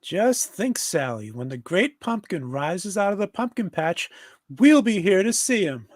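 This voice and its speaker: Earnest, high-voiced little boy, played by a man. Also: very animated, measured pace, dry recording, studio quality, full character voice. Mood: hopeful. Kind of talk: live comedic table read of a cartoon.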